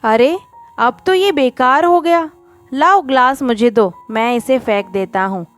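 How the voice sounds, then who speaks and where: A woman's voice: sits high, unhurried, soft background music. A woman voicing a kindly, grave male professor in a moral story, speaking patiently and instructively. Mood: casual, kind.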